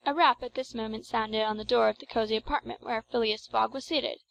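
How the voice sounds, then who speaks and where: A woman narrates an audiobook of classic fiction. Her voice sits somewhat high, and her delivery is measured and formal, as scripted storytelling. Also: fairly even intonation, vocal fry, a bit bright.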